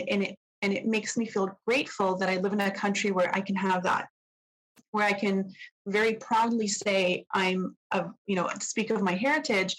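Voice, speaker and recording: A woman's voice: mid-range voice, rough recording. A young Canadian woman, an architect guest, talking casually and with many fillers. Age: young adult. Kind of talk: interview conversation.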